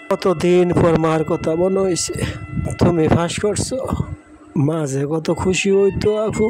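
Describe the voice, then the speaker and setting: A man acts out a wistful younger brother in a cartoon drama, with a high voice and a rural Bangladeshi accent. He pleads plaintively and sounds homesick, over clear background music. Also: poor audio.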